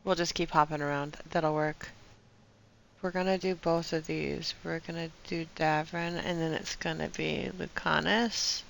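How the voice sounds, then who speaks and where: A woman's voice: low-pitched, level tone, measured pace, gravelly, low-quality recording. Chatty woman streaming a video game. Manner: chatty, thinking aloud, playful slang. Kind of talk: casual live gameplay commentary. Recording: faint noise and game ambience behind.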